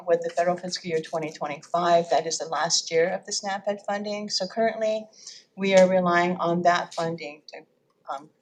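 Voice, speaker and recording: A woman's voice: fairly low pitch, slight background noise, low-quality recording. A woman with a Japanese accent giving formal testimony at a legislative hearing, with frequent fillers and self-corrections.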